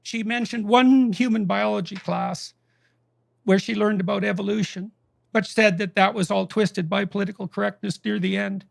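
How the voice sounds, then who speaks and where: A man's voice: sits high, fairly even intonation, normal speed, gravelly, no echo. A man telling a story to an audience in an emphatic way that builds to indignation. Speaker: speaker recounting a conversation. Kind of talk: impassioned storytelling talk.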